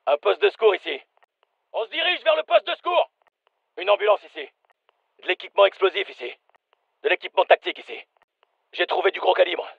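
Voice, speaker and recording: An actor playing a brisk special forces soldier, giving clipped, commanding combat callouts for a dubbed military game. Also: male voice, sits high, expressive, vocal fry, no echo, narrow, tinny audio, full character voice. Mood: brisk, focused.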